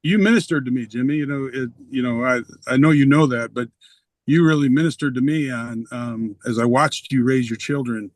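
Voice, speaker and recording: A middle-aged man, a retired umpire and pastor, talking softly and earnestly in a reflective conversation with many pauses and ums. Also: mid-range voice, no echo. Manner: soft-spoken and earnest, with frequent ums.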